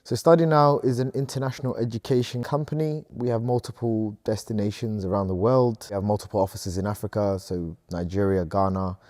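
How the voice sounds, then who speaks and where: A man's voice: fairly low pitch, steady tone, a little fast, few pauses, a little mellow, dry recording, studio quality. A man in his thirties from Sudan, a company co-founder answering interview questions in an earnest, upbeat way.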